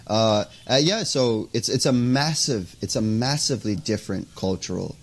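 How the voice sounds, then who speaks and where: A young man from the United States, a podcast guest talking casually and haltingly, with many "you know"s, over an earphone mic on a video call. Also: fairly low pitch, slight background noise. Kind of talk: interview conversation. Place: indoors by a window, video call.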